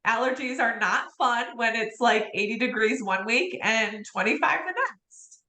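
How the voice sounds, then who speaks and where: A bright, sharp-toned woman leads a live training session, her voice hoarse as she is losing it. She talks in a chatty way with fillers, and she sits a little high in pitch. The room around her rings with echo. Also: a little slow. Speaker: host of a real estate training livestream. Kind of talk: livestream training talk.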